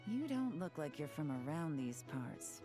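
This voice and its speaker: A woman's voice: low voice, sing-song, normal speed. A woman playing a shrewd, self-assured captain working undercover in a video game, speaking in a cool, probing, businesslike way. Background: music.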